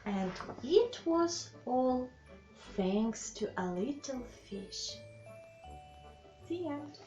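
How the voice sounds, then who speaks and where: A woman reading a children's bedtime story aloud in an expressive, deliberate way, with asides to young listeners. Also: high-pitched. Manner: slow, deliberate, animated phrasing with questions to the child listeners. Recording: slightly dull, lo-fi sound with music.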